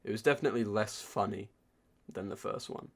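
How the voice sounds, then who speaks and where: A man's voice: fairly low pitch, fairly quick, vocal fry, breathy edge. A man co-hosting a podcast, giving casual, enthusiastic reviews in informal, sweary language. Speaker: podcast co-host. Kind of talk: casual pop culture review chat.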